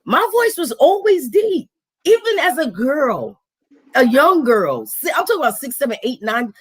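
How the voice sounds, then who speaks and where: A woman with a raspy, deep voice hosting a casual live stream, animated and slangy, acting out quotes. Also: moderate pace. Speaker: host.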